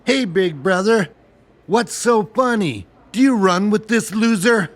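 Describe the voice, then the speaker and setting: A man's voice: high voice, expressive, a little slow. A man playing an eager, challenging little brother in an audiobook, keen and questioning. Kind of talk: audiobook character acting.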